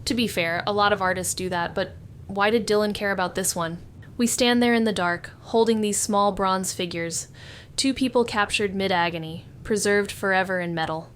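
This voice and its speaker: An actress playing a female amateur sleuth in a noir audio drama, introspective and steady, with deliberate narration and dry, understated dialogue. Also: medium pitch, slight background noise, lightly acted. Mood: thoughtful.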